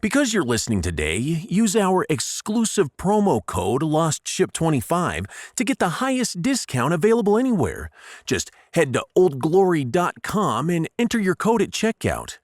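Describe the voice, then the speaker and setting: A commanding, exasperated starship captain played by a man with a raised, lively male voice. He is emphatic and sarcastic, in a dry audiodrama recording. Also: on the high side, normal speed, dry recording. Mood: upbeat pitch. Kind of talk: comic audiodrama acting.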